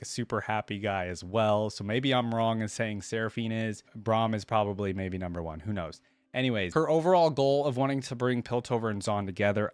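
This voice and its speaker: A man with a fairly low voice hosts a reaction video in a casual, chatty way. He rambles through his thoughts and often checks in with a short question. The sound is dry, with very little room in it. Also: dry recording.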